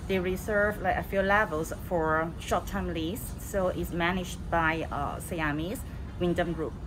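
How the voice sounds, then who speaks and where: Woman over loud city noise. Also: lowish voice, steady tone, measured pace. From Hong Kong. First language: Cantonese. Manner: plain, matter-of-fact, with frequent uh fillers. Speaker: property agent. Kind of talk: answering questions, touring a building. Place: outdoors and in a building lobby and rooftop. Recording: loud outdoor noise, voice a bit off the mic. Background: loud city and traffic ambience.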